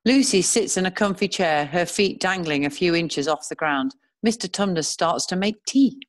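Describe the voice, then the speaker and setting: A woman narrating screenplay stage directions in a measured, descriptive reading style. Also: on the low side, lively intonation, dry recording.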